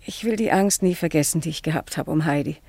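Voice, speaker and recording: An actress playing an anxious, remorseful and pious farmer's wife with a halting murmur in a German radio play. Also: female voice, sits low, dark tone, no room sound.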